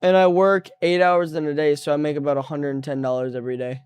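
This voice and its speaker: Laid-back young man, smooth and close. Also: on the high side, quick pace, no room sound. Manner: relaxed, easygoing, trails off mid-sentence. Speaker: streamer. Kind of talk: casual chat.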